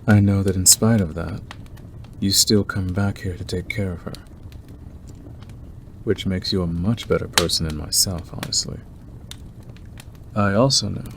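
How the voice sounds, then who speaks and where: A predatory male werewolf played by a man murmurs to the one he is obsessed with in an ASMR roleplay. His voice is very low and takes its time, teasing and softly menacing, with clear ambient noise behind it. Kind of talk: ASMR roleplay monologue to the listener. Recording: clearly noisy background ambience.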